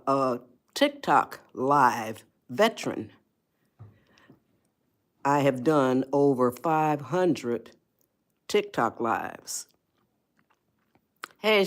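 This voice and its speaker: A woman in her eighties hosting a casual livestream, chatting slowly and greeting viewers by name. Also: rumbling low, animated. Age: 80s. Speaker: livestream host. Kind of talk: casual livestream advice talk.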